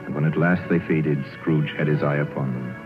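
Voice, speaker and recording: Man narrator, deep, measured storytelling, tinny old radio, music behind. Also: steady tone. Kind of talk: scripted dramatic narration.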